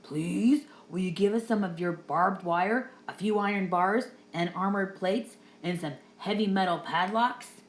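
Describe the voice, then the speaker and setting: Storybook cubs: three small wolves, pitched up high, loud and stubborn, voiced by a woman. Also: unhurried, few pauses, slight background noise, natural voice. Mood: pleading. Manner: high, loud chanting refusals.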